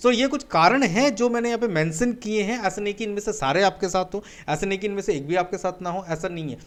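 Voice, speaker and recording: A man's voice: high-pitched, fast. A man with an Indian accent teaching a spoken English webinar. He mixes Hindi and English, asks rhetorical questions and answers them himself, and keeps saying "my dear friend.". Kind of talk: webinar lesson.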